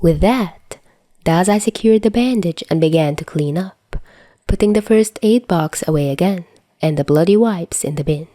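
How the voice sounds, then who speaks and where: A woman's voice: on the low side, moderate pace, warm tone, no room sound. A woman reading scripted fan fiction as a story narrator. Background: faint music.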